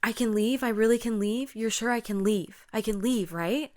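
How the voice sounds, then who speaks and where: Young female caller played by a woman storyteller: panicked, begging, repeats herself, raised pitch, horror-tale delivery. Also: normal speed, darkish tone, natural voice. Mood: eerie pleading. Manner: frantic, repetitive, run-on pleading. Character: panicked, pleading young woman phoning a friend. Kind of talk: spoken scary-story dialogue.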